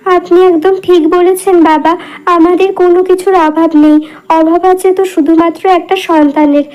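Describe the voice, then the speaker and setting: A woman acts out a devoted village wife in a cartoon folk tale. Her voice is high, and she speaks in an eager, agreeable and earnest way. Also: fairly even intonation, normal speed, darkish tone, lo-fi sound. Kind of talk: cartoon dialogue.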